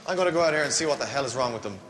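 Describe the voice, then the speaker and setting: A man's voice: medium pitch, animated, rapid-fire, a little hiss or hum. An Irish man hosting a dating game show, teasing the contestants with playful banter. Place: TV studio with a live audience. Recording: loud studio audience, reverberant hall.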